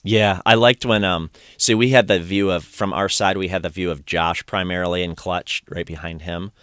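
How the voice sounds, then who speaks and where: A low-pitched man hosts a relaxed podcast chat, rambling eagerly and restarting his sentences. His tone is smooth and clear in a dry, close recording, with a slight strain from recent singing. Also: moderate pace, dry recording. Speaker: podcast host. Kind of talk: casual conversational recap.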